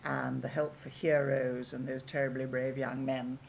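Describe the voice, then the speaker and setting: An older actress speaking emphatically on a panel on a dark theatre stage, into a table mic. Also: female voice, rumbling low, level tone, moderate pace, creaky, a little hiss or hum.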